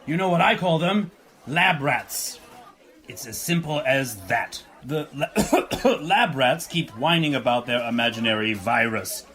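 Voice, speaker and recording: An actor playing a pompous president giving a rally speech in an audio drama, stammering, repeating himself and coughing often. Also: male voice, mid-range voice, animated, measured pace, a bit bright. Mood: dismissive, defensive.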